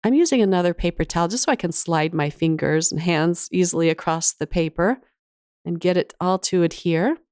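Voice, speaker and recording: Female craft-tutorial host, lowish voice slightly off from a cold, casual and enthusiastic, very dry voice-over sound. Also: brisk, darkish tone, clean tone, no echo.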